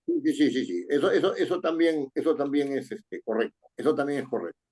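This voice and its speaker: A man's voice: mid-range voice, expressive, darkish tone. A man teaching a writing class, reading a student's paragraph aloud and correcting it point by point, often checking with "¿no es cierto?". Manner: reads text aloud slowly, then explains with rising questions like "¿no es cierto?".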